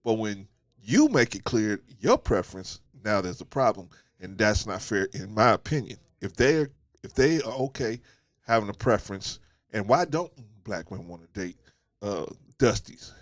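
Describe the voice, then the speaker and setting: A man hosting his own commentary show, talking through a stuffy nose from a cold in a fairly low voice. He lectures emphatically, drives his points home by repeating them, and keeps checking in with "right?". The sound is dry, with no room echo. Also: big pitch swings, moderate pace. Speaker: host of a commentary channel. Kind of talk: casual opinion monologue.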